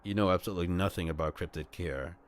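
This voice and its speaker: A man's voice: low-pitched, fairly even intonation, fast talker, slight background noise. A man streaming a live read-aloud of a visual novel, narrating scenes and dropping casual asides. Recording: music behind the voice. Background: background music.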